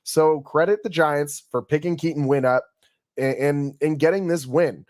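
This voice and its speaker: A hoarse man hosts a solo sports podcast, recapping a game in a loose, conversational way that often stumbles and restarts. His voice sits a bit high for a man. The recording is dry and close, with no room sound. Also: steady tone, normal speed, darkish tone, no echo. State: hoarse from yelling. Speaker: podcast host. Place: home studio.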